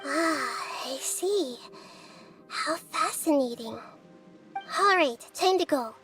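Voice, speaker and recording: A woman voicing a calm, inquisitive young girl-like deity in a fantasy game, soft, measured and analytical. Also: high voice, exaggerated voice. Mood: intrigued. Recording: music behind the voice. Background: game music.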